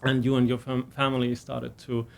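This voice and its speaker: A man's voice: mid-range voice, completely flat delivery, brisk, gravelly. A middle-aged man hosting an interview, asking questions with many fillers and restarts. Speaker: interviewer, university president. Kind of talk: conversational interview.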